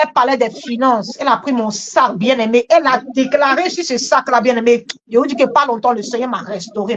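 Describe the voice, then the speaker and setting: A woman exhorting as a church host in an animated charismatic style, emphatic and often repeating her phrases, on a dull lo-fi recording. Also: fairly high pitch.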